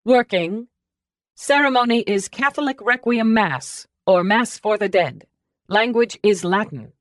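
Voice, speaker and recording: A woman's voice: mid-range voice, expressive, measured pace, no room sound, studio quality, pitched above the performer's own voice, close to an everyday voice. Woman acting as a formal, impersonal computer voice. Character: office computer reporting facts, impersonal and formal. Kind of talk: audiobook character dialogue.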